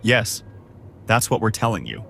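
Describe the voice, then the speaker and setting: A man's voice: fairly low pitch, big pitch swings, measured pace, vocal fry, sharp tone, soft background music, exaggerated voice. A man playing a courteous, methodical crew brother in a science fiction fan audio drama. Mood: explanatory. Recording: faint background noise.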